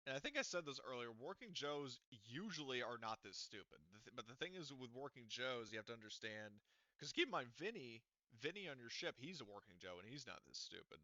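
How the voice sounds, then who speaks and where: A man's voice: medium pitch, sing-song, normal speed, bright, forceful tone, no room sound. A man running a tabletop roleplaying game as game master, talking casually in rambling runs full of fillers, restarts and the odd swear word. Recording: dull lo-fi recording, dry.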